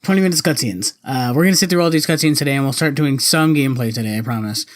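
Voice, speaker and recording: A gamer chats casually through a let's play in a mid-pitched voice. The delivery rambles and doubles back to fix itself. Video game music runs behind the talk. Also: racing. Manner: rambling, self-correcting. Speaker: gaming video host. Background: video game cutscene music.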